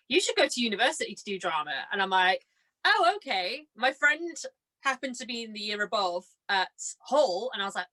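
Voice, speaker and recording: A young woman chatting casually as a podcast guest, telling anecdotes in a gushing, excitable way. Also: mid-range voice. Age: young adult. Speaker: podcast guest, amateur actress.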